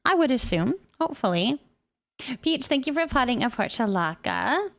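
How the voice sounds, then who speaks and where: A woman hosting a casual livestream, chatting with viewers in a gentle, affectionate way and often repeating her phrases softly. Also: on the high side, big pitch swings, normal speed, vocal fry. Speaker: livestream host. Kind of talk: casual livestream chat, thanking viewers and reading their messages aloud.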